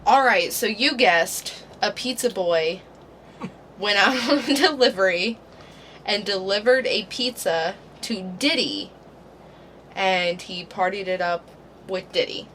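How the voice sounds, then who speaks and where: A woman's voice: mid-range voice, slow pace, a bit bright, a little hiss or hum. A woman co-hosting a casual podcast who talks in an easygoing, musing way and often laughs. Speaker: podcast co-host.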